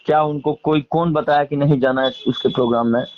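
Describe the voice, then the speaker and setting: High-pitched Bihari man, emphatic. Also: fairly even intonation, fast, muffled recording, a little hiss or hum. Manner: emphatic, repeats phrases, colloquial. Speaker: interviewee, singer and stage performer. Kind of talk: casual phone interview, airing grievances.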